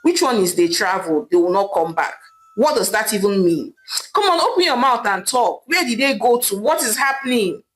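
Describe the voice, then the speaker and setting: A woman's voice: medium pitch, animated, normal speed, a bit bright, lightly acted. A woman voicing a worried, impatient older male head of household with a Nigerian accent. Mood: worried, demanding. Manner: demanding, impatient questioning. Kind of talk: storytelling character voice.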